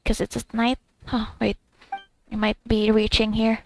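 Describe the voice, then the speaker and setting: A woman's voice: a little high, monotone, unhurried, creaky, slight background noise. A woman gamer giving casual, jokey commentary and rambling as she thinks aloud while playing.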